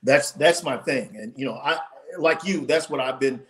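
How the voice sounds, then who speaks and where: A man in his forties, a podcast guest telling his story in an animated way with lots of restarts, his voice starting to wear out, speaking over a video call from home. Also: mid-range voice, moderate pace. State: losing his voice. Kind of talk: casual interview storytelling. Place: home room, indoors.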